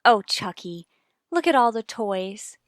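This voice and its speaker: Genteel young mother, smooth clear voice, airy and polite, old radio soap, dry sound. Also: medium pitch, sing-song, slow pace, no echo. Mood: bright, polite. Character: breezy, genteel young mother of a small boy. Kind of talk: mid-century radio soap opera acting.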